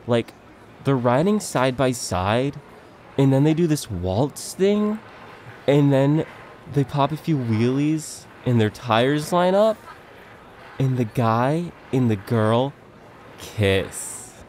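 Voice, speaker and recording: A man playing an excitable but laid-back slacker dude, an earnest movie buff rambling in slangy speech through a comic stage monologue. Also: medium pitch, a little hiss or hum. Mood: enthusiastic. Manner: rambling slangy dude-speak with wondering pauses.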